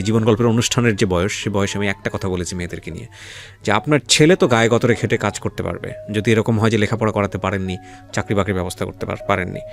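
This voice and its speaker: A man hosting a life-story radio show, earnest and preachy as he frames the guest's story. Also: lowish voice.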